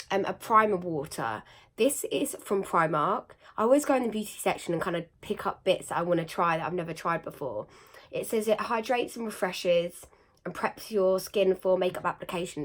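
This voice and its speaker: A young woman with a croaky, slightly nasal voice after being ill, chatting casually through a makeup tutorial with lots of fillers. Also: fairly low pitch. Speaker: beauty vlogger.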